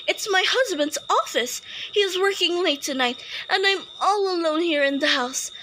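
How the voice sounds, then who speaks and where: Very high-voiced, anxious housewife played by an actress. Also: female voice, normal speed, sharp tone. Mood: fretful. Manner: fretful, demanding, dramatic. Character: anxious, imperious, sickly housewife alone at night. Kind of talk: radio play acting.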